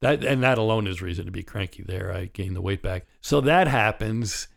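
A man in his sixties hosts his solo podcast in a raspy, slightly low voice. A partly paralyzed vocal cord leaves his tone rough. He talks candidly and wryly, in a loose conversational way. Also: normal speed. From United States. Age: 60s. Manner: candid, wry and conversational, with chuckles. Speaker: podcast host. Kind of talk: reflective solo podcast monologue.

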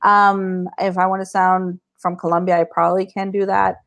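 A woman raised in Costa Rica, a marketing consultant chatting as a guest on a livestream show, bubbly and quick to laugh. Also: lowish voice, level tone, vocal fry, fairly bright tone. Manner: bubbly, laughs often, bilingual. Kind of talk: casual livestream chat.

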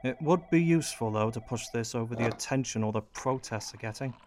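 A sly political aide , played by a man in a radio play. His mid-pitched voice is wry and colloquial, needling as he schemes, over faint background noise. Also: a little fast, darkish tone, close to an everyday voice. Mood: wry, scheming. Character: wry, sly political aide, also playing prodding reporter.

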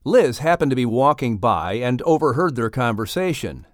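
A man's voice: medium pitch, expressive, moderate pace, no room sound, clean studio sound. A man narrating a scripted children's audiobook as the storyteller. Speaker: narrator and announcer.

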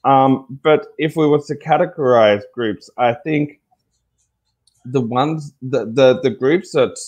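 A man's voice: medium pitch, takes it slowly, darkish tone. A man with an Australian accent speaking earnestly as an interview guest, repeating key phrases for emphasis. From Tasmania, Australia. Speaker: interview guest, mental health advocate.

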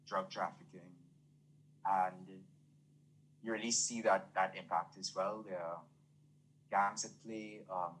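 A man's voice: sits low, fairly bright tone, a little hiss or hum, low-quality recording. A young man with a Trinidadian accent, speaking earnestly and fluently with many fillers as a guest in an interview. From Trinidad and Tobago. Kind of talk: casual interview talk.

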